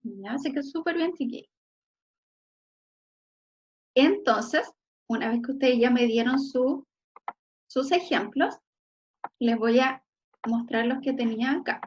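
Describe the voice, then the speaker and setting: Chilean woman, teacher, fairly high voice, muffled sound, keeps checking in with students. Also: slow, pauses often, a little hiss or hum. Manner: frequent checking "¿ya?" tags, encouraging. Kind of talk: online class lecture.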